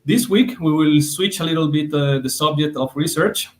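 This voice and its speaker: Man hosting an online school session. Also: a little high. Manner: formal, halting phrasing. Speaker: host. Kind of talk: introducing a speaker. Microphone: video call.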